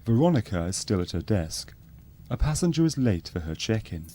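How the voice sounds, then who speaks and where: Low mellow guy docu-soap narrator, straight-faced, matter of fact. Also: sing-song, a little fast. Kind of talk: deadpan spoof documentary narration.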